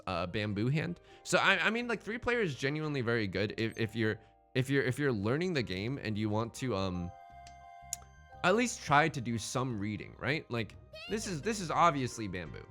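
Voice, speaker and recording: A man's voice: medium pitch, sing-song, moderate pace, a bit bright, soft background music. A man hosting a gaming let's play in casual, bantering commentary, thinking aloud and often repeating his phrases.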